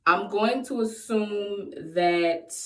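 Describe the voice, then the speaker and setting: A woman of twenty-eight chatting casually in a question-and-answer vlog, playful and quick to laugh. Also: mid-range voice. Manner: playful, laughs often, breaks into sung bits. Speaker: vlogger. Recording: echoey room, voice far from the mic.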